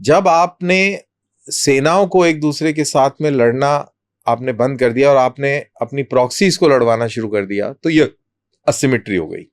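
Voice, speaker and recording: A man gives explanations as a guest in an interview. His voice is smooth and clear, at an average pitch. He talks in a measured, deliberate way, pausing between short phrases, and the recording is very dry. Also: dry recording. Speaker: army colonel, interview guest.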